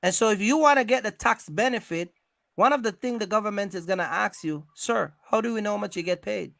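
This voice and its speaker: A man hosting an animated advisory conversation about business, speaking emphatically, repeating himself and often checking in with questions. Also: sits high, a little fast, vocal fry, dry recording. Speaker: host giving business advice to truck owners.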